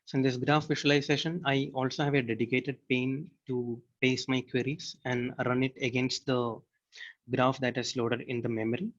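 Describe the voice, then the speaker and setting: A man giving a step-by-step software demo as a technical presenter, explaining in a halting way. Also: mid-range voice, flat delivery, muffled recording.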